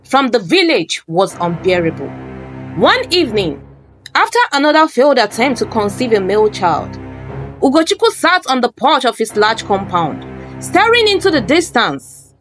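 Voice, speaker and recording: A woman narrates a folktale as a scripted dramatic story. Her voice sits fairly high and moves with very lively intonation, with faint music underneath. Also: moderate pace.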